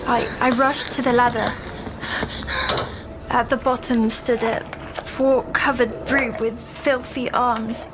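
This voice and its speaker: A woman playing a timid young girl in an audio drama, haltingly recounting her nightmares in a hushed, haunted way. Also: on the high side, flat delivery, music in the background. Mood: uneasy, recalling. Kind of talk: audio drama acting, slow dreamy narration.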